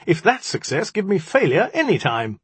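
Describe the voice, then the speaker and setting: Man voicing a haughty, raised-pitch young magician. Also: very animated, moderate pace, slightly rough, no echo. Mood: scornful. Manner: haughty, accusing, dramatic. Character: bitter, self-pitying young male magician. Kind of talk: audiobook character dialogue.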